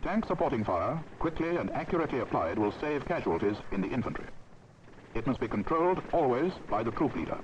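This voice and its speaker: A man's voice: medium pitch, sing-song, fast talker. A man with a British accent giving formal, authoritative, precisely enunciated scripted narration for a military training film. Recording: dull old film soundtrack, background noise.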